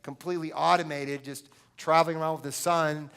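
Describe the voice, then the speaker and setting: A man's voice: fairly high pitch, fairly even intonation, fairly quick, creaky, fairly bright tone, clean tone. A man hosting a live event, introducing speakers in an informal way from the stage.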